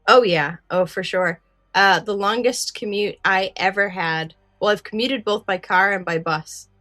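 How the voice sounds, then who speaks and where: A woman's voice: mid-range voice, unhurried, sharp tone, dry recording, soft background music. A woman chatting casually as a podcast co-host. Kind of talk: casual chat.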